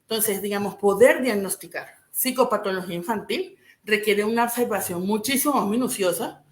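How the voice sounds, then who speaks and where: A woman from Venezuela speaks at a middle pitch, explaining patiently as a psychologist being interviewed. She keeps checking in with a quick, rising "¿sí?". Her voice echoes around the room. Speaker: clinical psychologist guest.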